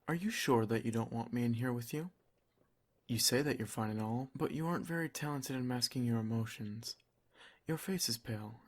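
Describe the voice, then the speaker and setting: A man playing a doting, protective vampire lord in an ASMR roleplay, soft-spoken, formal and reassuring. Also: mid-range voice, lightly acted. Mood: calm, concerned.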